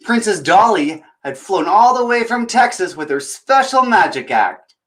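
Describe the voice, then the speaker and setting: Guy doing kids storytime, kinda high voice, super animated n theatrical. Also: a little slow. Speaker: children's storytime host and reader. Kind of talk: chatty introduction and animated picture-book reading aloud.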